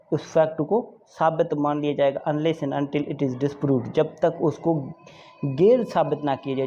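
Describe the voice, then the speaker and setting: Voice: medium pitch, warm tone. A lecturer teaches informally for exam students, explaining point by point, repeating key ideas and often checking understanding. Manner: repetitive, checks understanding often with tag questions; switches into English to read out legal definitions slowly. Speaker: law lecturer. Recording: dull, muffled audio with background noise.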